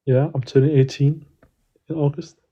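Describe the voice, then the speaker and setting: A man's voice: a little high, fairly even intonation, moderate pace, husky, highs cut off. A young man in a live online debate who keeps questioning the hosts, stumbling and repeating himself. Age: young adult. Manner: hesitant, repeats himself, stumbles over words. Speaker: caller challenging the hosts.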